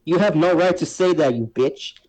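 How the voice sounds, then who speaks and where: A man's voice: on the high side, normal speed, a little mellow, natural voice, rough recording. A man voicing an indignant, scolding female cartoon coyote in an amateur fan fiction reading.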